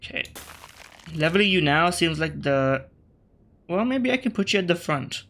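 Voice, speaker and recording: A man's voice: on the high side, sing-song, moderate pace, pushed and bright. A man from Australia with an accent shifted by British media, talking through a strategy game in casual commentary. He thinks aloud, corrects himself and tosses wry asides at his opponents. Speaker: gaming video creator.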